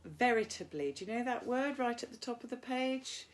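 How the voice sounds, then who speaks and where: A woman's voice: on the high side, lively intonation, moderate pace. A British woman, an English teacher, reading a novel aloud and explaining it informally as she goes.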